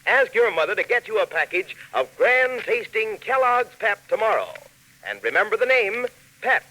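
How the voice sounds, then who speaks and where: A man's voice: sits high, very animated, moderate pace, pushed and bright, low-quality recording. A man delivering a scripted 1940s radio advertisement as a folksy announcer talking to children, on a hissy old recording. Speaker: announcer and cereal pitchman.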